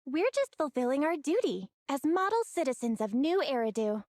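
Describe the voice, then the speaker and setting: Game heroine: plucky, clever young sister, high voice, close dry sound, measured confidence. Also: female voice, sing-song, a little mellow, dry recording. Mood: coolly triumphant. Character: young sister, shrewd, composed, plucky underground operator. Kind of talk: English video game voice acting.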